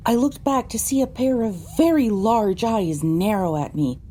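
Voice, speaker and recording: A woman's voice: medium pitch, measured pace, mellow, a little hiss or hum. A woman delivering scripted, first-person narration for an audio drama.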